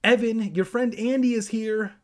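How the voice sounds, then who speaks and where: Man putting on a scolding aunt, raised pitch, sing-song calling, storybook character. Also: steady tone, normal speed.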